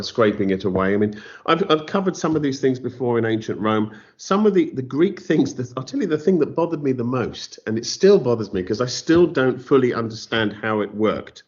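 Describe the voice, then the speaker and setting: A man's voice: mid-range voice, a little mellow. A man with an English accent, a novelist being interviewed, who talks in a thoughtful, self-deprecating way with many restarts. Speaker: novelist guest. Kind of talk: interview conversation. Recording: slightly dull lo-fi audio.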